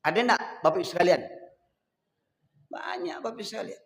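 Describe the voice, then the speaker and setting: A man from Sumatra with a Medan accent, preaching a sermon to a congregation and slowing to chant verses. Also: high-pitched, big pitch swings, gravelly. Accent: Medan Indonesian. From Sumatra, Indonesia. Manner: rises and falls, slows to chant Quran verses. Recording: echoey hall.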